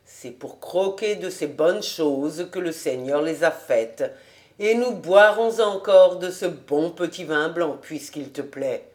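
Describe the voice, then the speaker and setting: A woman reads as a generous gentleman in a storybook, low and slow. He is tipsy and fond, coaxing and murmuring as he insists. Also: expressive. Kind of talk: audiobook storytelling.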